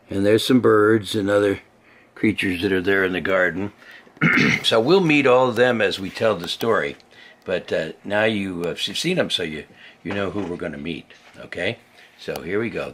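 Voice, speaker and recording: An older man, a grandfather, reads a children's story to a young child with expressive, playful delivery and simple chatty explanations, in a husky, gravelly voice. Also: lowish voice.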